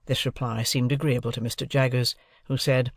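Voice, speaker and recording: A man's voice: mid-range voice, flat delivery, brisk, dry recording, clean studio sound. A man reading scripted literary narration as an audiobook narrator.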